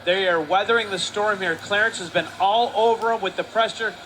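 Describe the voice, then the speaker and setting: Guy with high bright voice breaking down the hockey game, quick and punchy. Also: slight background noise, low-quality recording. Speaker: guest commentator.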